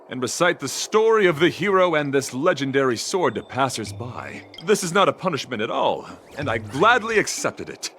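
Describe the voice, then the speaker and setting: Gallant knight with swooping intonation. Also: male voice, medium pitch, fairly bright tone, slight background noise. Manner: florid, grandly earnest. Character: noble, florid male knight devoted to beauty. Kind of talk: English video game voice acting.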